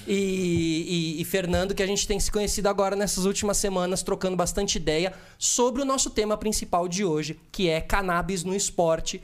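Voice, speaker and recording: A man's voice: high-pitched, normal speed, hardly any pauses. A Brazilian man hosting a casual podcast interview, chatty and upbeat. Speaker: podcast host.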